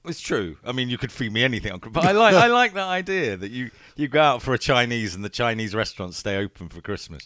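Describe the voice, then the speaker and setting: Playful man with lively voice. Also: medium pitch, fast talker, few pauses, dry recording, studio quality. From England, London. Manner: playful, jokey. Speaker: podcast co-host. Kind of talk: casual chat.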